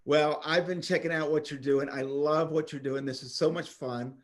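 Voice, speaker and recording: A man's voice: a little high, fairly even intonation, moderate pace. A man hosting a podcast interview, eager and enthusiastic as he welcomes his guest. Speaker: podcast host.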